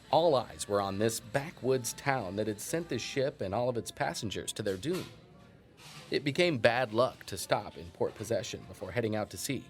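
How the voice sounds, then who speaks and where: A man playing a wry, folksy small-town historian and coffee shop owner in an audio drama, talking emphatically with dramatic pauses. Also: medium pitch, lightly acted. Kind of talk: naturalistic audio drama interview.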